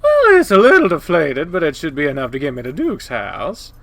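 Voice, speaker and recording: A man voicing an old female coyote in a visual novel, loud, obnoxious, brash and over-friendly. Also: fairly high pitch, wildly swooping pitch, a little fast, dry recording, full character voice. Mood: chatty, friendly.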